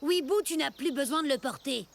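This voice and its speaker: A woman's voice: high voice, fairly quick, creaky, slight background noise. A woman voicing a sceptical, no-nonsense young boy aviator in a children's cartoon, speaking matter-of-factly.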